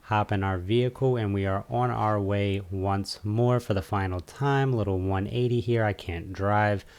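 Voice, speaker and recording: A man's voice: lowish voice, fairly even intonation, moderate pace, a little mellow, clear, resonant tone. A man giving a casual, easygoing video game walkthrough as an instructional guide.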